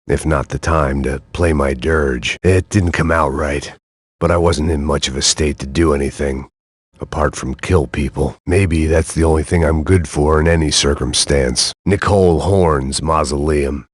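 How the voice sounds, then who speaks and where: An actor voicing a jaded, self-loathing middle-aged antihero in weary, sardonic, deadpan video game noir narration, with a gravelly voice. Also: male voice, very deep voice, measured pace, no room sound, studio quality, heavily acted. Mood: bleak, reflective. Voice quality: gravelly, husky.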